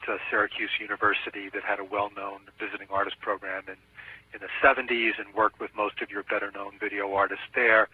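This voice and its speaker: Middle aged guy being interviewed over the phone, kinda husky, thoughtful explaining, tinny line. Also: fairly low pitch, no room sound. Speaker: interviewed video editor and media artist. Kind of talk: telephone interview. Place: on a telephone call. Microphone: telephone.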